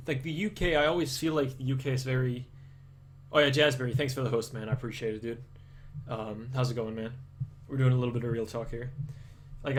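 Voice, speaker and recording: A young Danish man with an American-leaning accent, chatting casually with viewers on a live stream and rambling with many restarts. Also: mid-range voice. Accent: Danish, aiming for American English. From Denmark.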